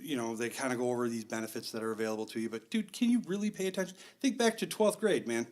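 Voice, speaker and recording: Excitable, profane man in his thirties. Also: medium pitch, quick pace. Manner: excitable and profane, swings loud. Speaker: podcast guest, veteran. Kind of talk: casual podcast chat.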